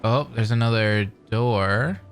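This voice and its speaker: A young man commenting casually and excitedly while playing a game. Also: mid-range voice, slow. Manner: excitable, chatty, says dude. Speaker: gaming streamer. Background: game music.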